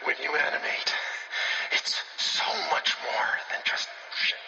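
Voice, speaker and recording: An actor playing a manic, giddy animator obsessed with his craft, theatrical and breathy, in a horror game audio log. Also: pushed and bright, reverberant, in a whisper, distant mic, lo-fi sound. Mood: fervent. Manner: theatrical, intense, slow savoring delivery.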